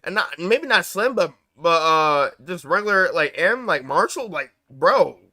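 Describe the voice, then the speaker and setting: A man hosting a live reaction stream, talking casually in slang and breaking into excited outbursts. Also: sits high, measured pace.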